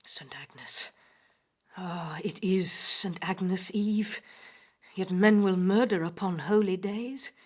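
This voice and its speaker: A man's voice: sits high, slow pace, voice pushed up. A man voicing a frail, fearful, scolding old nursemaid with a thin, whispery, quavering voice in a dramatic audio poem. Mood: muttering, amazed. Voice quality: breathy, whispery, thin aged voice. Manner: quavering, urgent, archaic speech. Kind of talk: dramatic poetry recital.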